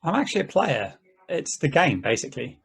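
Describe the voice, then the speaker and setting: A man playing an easygoing game player in an amateur table read, talking in a halting, conversational way. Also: fairly high pitch, big pitch swings, vocal fry, breathy edge, full character voice. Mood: casual, explaining. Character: easygoing, rambling male player of an endless treasure-hunt game.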